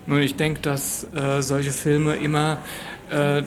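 A man's voice: a little high, monotone, takes it slowly. A man giving thoughtful, hesitant interview answers, searching for his words. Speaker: interviewed film festival visitor. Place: on-location interview after a screening. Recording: faint background noise and ambience.

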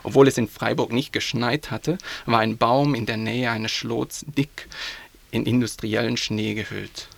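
A man reads an earnest commentary in a gravelly, creaky voice. He speaks with some urgency and is from southwest Germany. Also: medium pitch, fairly even intonation, measured pace, no room sound. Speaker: news presenter. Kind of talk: read-out commentary.

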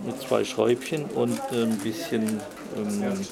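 A man haltingly explaining a repair he has just done. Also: on the low side, fairly even intonation, vocal fry, over a noisy crowd. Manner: halting, many fillers. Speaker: volunteer repairer. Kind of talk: casual explanation.

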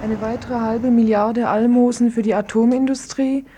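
A woman's voice: a little high, flat delivery, moderate pace, warm tone, slightly rough. A woman reading a scripted radio news report in formal, read-out phrasing.

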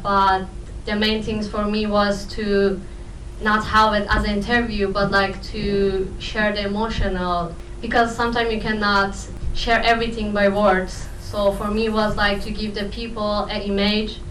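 A woman from Afghanistan, not a native English speaker, answering informally and haltingly at a live festival talk. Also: medium pitch, flat delivery, a bit bright. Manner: halting, loosely phrased non-native English. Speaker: film protagonist and co-writer at a festival talk. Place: echoey event room. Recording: roomy, distant live event sound, faint noise.

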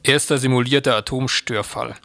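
Man reading radio news in flat tone. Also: medium pitch, brisk, creaky, over music.

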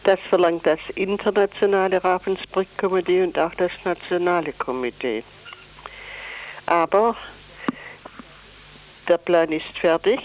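An older woman speaks as an interviewee and spokesperson. She sets out her points deliberately, with emphatic repetition and a critical edge. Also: fairly low pitch, low-quality recording. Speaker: chairwoman of a survivors' association, interviewee.

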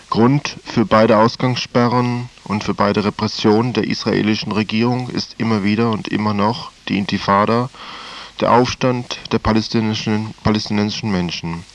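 Male news presenter, low voice, measured reading, dull lo-fi broadcast sound. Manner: measured reading with occasional fillers and self-corrections. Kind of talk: news commentary.

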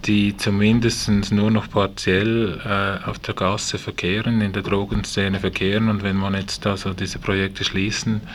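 A man's voice: fairly low pitch, flat delivery, unhurried, hardly any pauses. A man appearing as a panel guest, explaining his project in unscripted speech. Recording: faint background noise.

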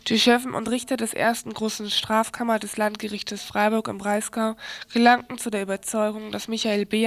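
A woman reads a scripted news report for radio. Her voice is a little high and her melody is flat. The sound is dry, with hardly any room in it. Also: no room sound.

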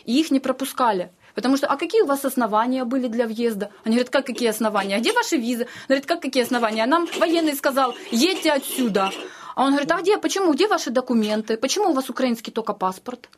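A woman's voice: high-pitched, fast, slight background noise. A woman being interviewed, recounting her own experiences informally and acting out the dialogue she quotes. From Ukraine. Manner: animated retelling that acts out quoted dialogue. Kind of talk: informal personal storytelling in an interview.

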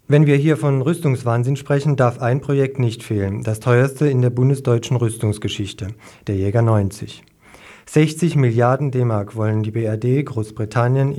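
A man's voice: mid-range voice, dark tone. A man presenting a radio news report, reading from a script.

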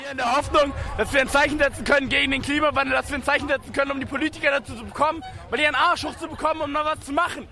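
Very high, fast young demonstrator, crowd behind. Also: steady tone. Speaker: demonstrator giving a street interview. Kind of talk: spontaneous street interview. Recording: outdoor street with crowd chatter.

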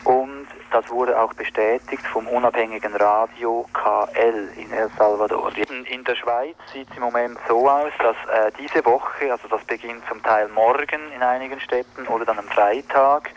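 A man's voice: medium pitch, steady tone, a little slow, a little hiss or hum, poor audio. A man giving an informal spoken report as a spokesman, hesitant and correcting himself. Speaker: solidarity group spokesman.

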